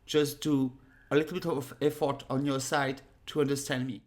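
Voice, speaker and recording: A woman in her thirties with a French accent, teaching a casual lesson to a webcam in a small room at home, unhurried and full of fillers. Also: a bass voice, flat delivery, a little mellow. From France. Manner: unhurried, pauses with many fillers, direct. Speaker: harmonica teacher. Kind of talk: casual spoken lesson to camera.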